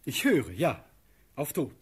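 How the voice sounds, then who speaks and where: An actor playing a proud, passionate young prince condemned to death, declaiming verse in a husky, rough voice in a radio play. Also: male voice, medium pitch, huge pitch swings, darkish tone, full character voice. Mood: defiant, trusting. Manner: declamatory, impassioned, pausing for effect.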